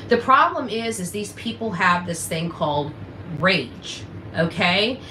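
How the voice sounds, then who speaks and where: A woman's voice: medium pitch, lively intonation, unhurried, sharp tone. A woman speaking off the cuff as an online commentator, in an emphatic, repetitive, confrontational manner. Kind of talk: unscripted rant and advice to camera. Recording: roomy echo with faint noise.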